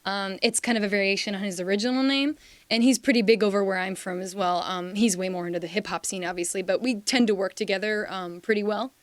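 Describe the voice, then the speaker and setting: A woman's voice: medium pitch, steady tone, fast talker. A young woman from Slovakia chatting as a singer guest in a radio studio, talking in long rambling runs full of fillers and "like"s. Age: young adult. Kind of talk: casual interview chat. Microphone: studio microphone.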